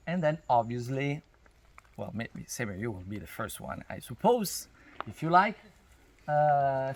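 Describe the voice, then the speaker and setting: Higher-voiced man speaking non-native English, noisy recording. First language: not English. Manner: halting, emphatic, non-native phrasing. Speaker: spiritual workshop leader. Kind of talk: informal explanatory talk to a group. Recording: noisy outdoor recording, natural ambience.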